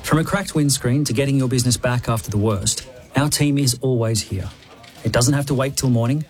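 A man's voice: medium pitch, a little fast, a little mellow. A man speaking as a company spokesperson in a radio ad, reassuring. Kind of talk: radio ad testimonial.

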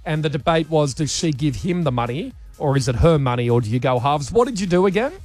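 Lively Australian radio host, man. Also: a little high, dry recording, faint music behind. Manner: jokey, teasing, quick to laugh. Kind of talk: casual on-air banter. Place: radio studio.

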